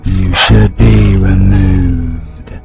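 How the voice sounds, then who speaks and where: A British man voicing the cold, menacing dark side of a possessed demon hunter in a fantasy audio drama. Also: sing-song, exaggerated voice. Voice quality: gravelly, very deep. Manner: slow, cold, ominous pronouncements. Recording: music underneath.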